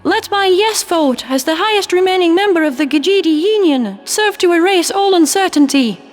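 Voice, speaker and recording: A man's voice: very high voice, lively intonation, moderate pace, faint music behind, voice pushed up. A man voicing a blunt, solemn female alien leader giving a formal speech in a sci-fi audio story. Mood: solemn, resolute.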